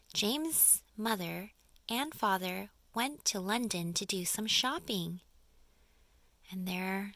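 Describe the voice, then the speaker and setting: Woman, storybook narrator, slow pace, bright crisp tone, dramatic emphasis, expressive delivery. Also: medium pitch, dry recording. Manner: dramatic, deliberate phrasing with emphasis. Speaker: audiobook reader.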